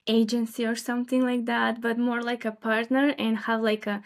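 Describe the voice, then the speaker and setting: A young woman, a freelance designer talking thoughtfully as an interview guest, with many fillers and pauses. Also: a little high, flat delivery. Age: young adult. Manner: thoughtful, hesitant with fillers and light laughs. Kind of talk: casual interview chat.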